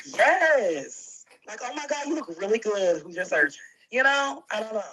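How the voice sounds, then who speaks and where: A woman guest chatting casually on a live talk show, laughing often and speaking in an animated way. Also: on the high side, moderate pace, husky. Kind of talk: casual chat.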